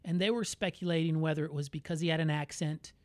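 A man with an American accent co-hosting a podcast, talking in a candid, reflective and measured way. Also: a little high, fairly even intonation, a little mellow, no echo. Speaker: podcast co-host. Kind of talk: casual conversational podcast.

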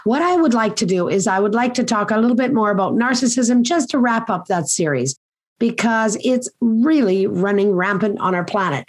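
Hoarse woman hosting a chatty podcast. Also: a little high. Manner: chatty, rambling, earnest advice. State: a bit hoarse. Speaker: podcast host. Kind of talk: casual podcast monologue.

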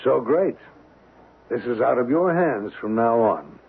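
An actor playing a cynical American embassy official in an old-time radio drama, gravelly and husky, with a wry, clipped, commanding manner. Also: male voice, medium pitch, lively intonation, unhurried, full character voice. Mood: brisk, commanding. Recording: tinny, band-limited old radio broadcast.

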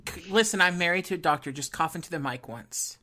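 A woman's voice: on the low side, fast, sharp tone. A woman co-hosting a podcast, chatting casually with wry, sarcastic quips. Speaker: podcast co-host. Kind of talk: casual chat.

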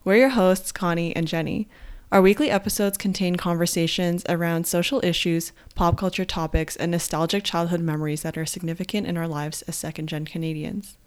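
A young woman co-hosting a podcast, talking in a relaxed, reflective way with many fillers. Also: on the low side, fairly quick, clean tone. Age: young adult. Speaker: podcast co-host. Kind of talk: casual chat.

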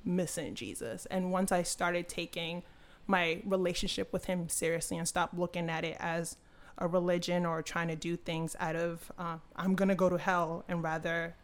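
A woman's voice: lowish voice, level tone, normal speed. A woman in her twenties, a podcast guest casually sharing her personal story. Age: 20s.

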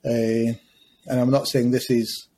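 Measured man in his fifties. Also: medium pitch, little pitch movement, mellow, slight background noise, rough recording. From Scotland. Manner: measured, reflective, frequent hesitations. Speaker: podcast guest, educator. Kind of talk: conversational podcast interview.

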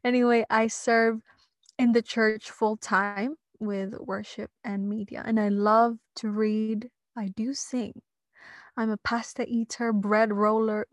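A woman's voice: on the high side, fairly even intonation, slow pace, dry recording, studio quality. A young woman podcast co-host who works in church worship and media, talking in a cheerful, chatty way. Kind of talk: casual podcast introduction.

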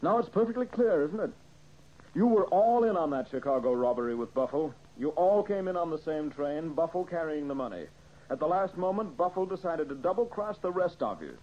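Confident radio detective, lightly high-pitched, very animated, emphatic, muffled vintage recording. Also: male voice, a little fast. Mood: assured, accusing. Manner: methodical, emphatic explaining. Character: brisk, confident male detective laying out a case. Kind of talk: old-time radio mystery acting.

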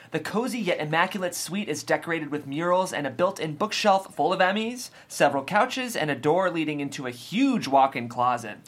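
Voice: medium pitch, fairly bright tone. A narrator reads out stage directions at a script table read. The delivery is scripted narration, setting scenes and describing action.

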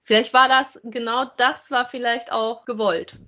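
A woman's voice: a little high, steady tone, moderate pace, bright, forceful tone, highs cut off. A woman co-hosting a podcast in a casual, conversational review of audio dramas. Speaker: podcast co-host.

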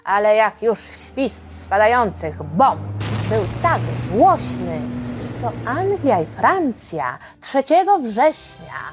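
A woman narrates for young listeners in a high, very expressive voice over music. She takes her time and speaks dramatically yet conversationally, as a storyteller would. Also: slow pace. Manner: dramatic, conversational, with rhetorical questions. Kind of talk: expressive scripted storytelling for children.

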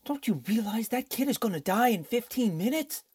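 A man's voice: very high voice, expressive, normal speed, darkish tone, husky, full character voice. An actor playing a tough, impatient young male lawyer in an old-time radio drama, speaking huskily and urgently.

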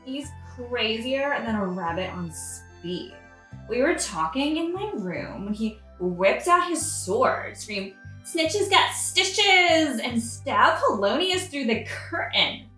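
Woman playing an exasperated queen, very slow, animated. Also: fairly high pitch, full character voice. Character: exasperated, troubled queen and mother. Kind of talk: satirical audio play acting. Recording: echoey room, music behind.

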